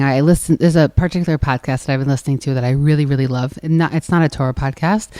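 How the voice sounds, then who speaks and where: A woman in her thirties with a calm, soft-spoken voice, hosting a live podcast conversation in front of an audience. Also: sits low, dry recording. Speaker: podcast host. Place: live event before an audience.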